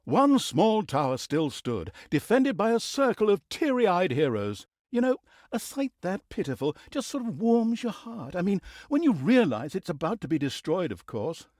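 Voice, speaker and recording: A man's voice: high voice, a little mellow, dry recording, clean studio sound, full character voice. An actor playing a wry, mocking male storyteller in a fantasy video game, narrating in a theatrical, mock-grand manner with sardonic, teasing asides. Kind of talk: scripted storybook-style comic fantasy narration.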